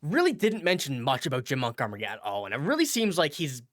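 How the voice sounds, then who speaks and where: A man's voice: medium pitch, wildly swooping pitch, quick pace, fairly bright tone. A man with a stuffy, nasal voice from a cold, hosting a casual hockey news commentary and rambling through his points. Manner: rambling, self-correcting, reads quotes aloud. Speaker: hockey news channel host.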